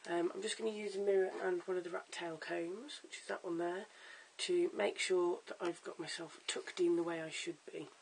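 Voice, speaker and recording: A hoarse, croaky-voiced vlogger giving a casual at-home wig tutorial in a chatty, stop-start way, filmed on a camera's own mic. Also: mid-range voice, fairly even intonation, normal speed, few pauses, a little hiss or hum, rough recording. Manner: chatty, stop-start with fillers and self-corrections. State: hoarse, lost voice. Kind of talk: casual how-to demonstration.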